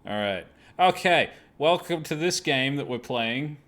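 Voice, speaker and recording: A man's voice: medium pitch, animated, measured pace, a bit bright. A man hosting a live gaming stream, chatting casually in a self-deprecating, apologetic way with plenty of swearing.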